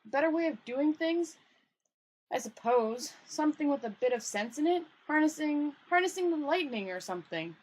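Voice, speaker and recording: A woman's voice: high-pitched, lively intonation, moderate pace, a little mellow, pitched above the performer's own voice, poor audio. A woman voicing an irritable, hopeless male failed wizard in a grumbling, fretful storybook read-aloud. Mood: miserable.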